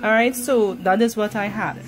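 A woman's voice: medium pitch, moderate pace, rough recording. A Trinidadian woman casually talking through a home cooking tutorial, rambling with many ums and easy laughs. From Trinidad and Tobago. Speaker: cooking channel host.

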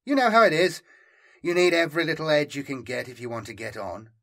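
A man's voice: a little high, fast, sharp tone, pitched above the performer's own voice. Man acting a sly, teasing corrupt bureaucrat. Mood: unabashed. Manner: casual, teasing, unabashed. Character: sly, amused, casually corrupt male bureaucrat.

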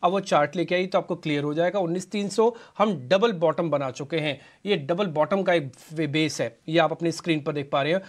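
A man with a slightly high voice walks viewers through chart levels on live TV. He speaks in an instructive way, telling the audience what to watch. He is on a lapel mic in a studio. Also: brisk, hardly any pauses. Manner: instructive, addresses viewers directly. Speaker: market analyst. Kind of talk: technical chart analysis on live TV. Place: TV news studio.

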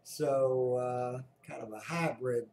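A man giving casual explanatory commentary as a radio hobbyist, halting and often clearing his throat. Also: medium pitch, fairly even intonation, a little mellow. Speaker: amateur radio operator, narrator. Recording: roomy echo, clear background noise.

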